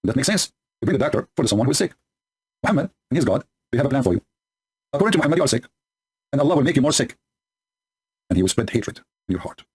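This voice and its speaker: Male commentator, native Arabic speaker, husky voice, sarcastic and combative, broken English, sped-up recording. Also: mid-range voice, big pitch swings, racing, pauses often, a little mellow. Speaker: religious polemic commentator. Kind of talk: commentary reading and mocking scripture. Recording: sped up to double speed.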